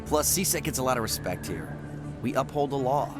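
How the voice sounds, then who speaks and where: A man's voice: mid-range voice, lively intonation, normal speed, music in the background, close to an everyday voice. An actor playing an eager, starstruck young policeman in a video game, chatty and deferential with boyish enthusiasm. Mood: chatty, enthusiastic. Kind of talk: natural video game acting.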